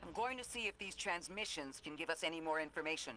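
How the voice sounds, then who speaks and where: An actor voicing a calm, analytical male robot companion in a video game, speaking in a measured, clipped and precise way, concerned and protective of the player. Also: a little high, slight background noise, rough recording. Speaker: companion guide character.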